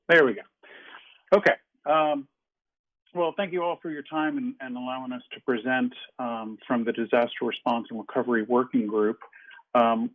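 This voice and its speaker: A man presents a working group update on a conference call. He speaks hesitantly, with many ums. The line is tinny and phone-like. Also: medium pitch.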